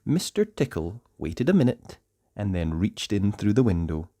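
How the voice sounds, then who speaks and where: Very animated man reading a children's story. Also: lowish voice, no echo, clean studio sound. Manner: playful, dramatic pauses and emphasis. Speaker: storybook narrator. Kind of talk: animated children's read-aloud narration.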